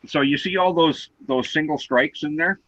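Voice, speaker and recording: Loud-voiced man patiently explaining. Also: fairly high pitch, moderate pace, dry recording, dull audio. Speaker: co-host explaining seismograms. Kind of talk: online talk show discussion.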